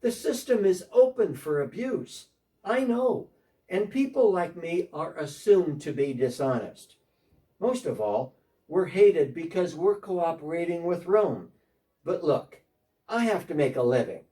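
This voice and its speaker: A man's voice: on the high side, lively intonation, a little slow, mellow, recorded from a distance. A man playing a defensive, talkative tax collector in a church dramatic reading, speaking one side of a phone call.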